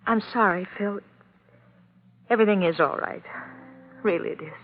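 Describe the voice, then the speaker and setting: A woman's voice: medium pitch, normal speed, slight background noise, lightly acted. An actress playing an anxious, lovestruck young woman in a 1940s radio drama, earnest and hesitant. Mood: fearful, uneasy. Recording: muffled old radio recording with music.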